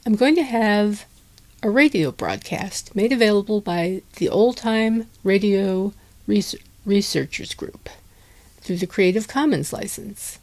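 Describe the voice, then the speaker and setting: A woman's voice: mid-range voice, a little slow, darkish tone, no room sound. A woman giving an informal introduction as a podcast host.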